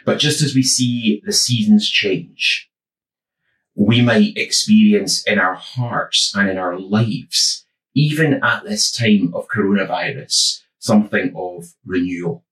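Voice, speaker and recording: A man from the west of Scotland with a West of Scotland accent, giving a gentle, reflective devotional talk with many small ums and uhs. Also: on the low side, takes it slowly, echoey room, far from the mic, low-quality recording. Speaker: church minister.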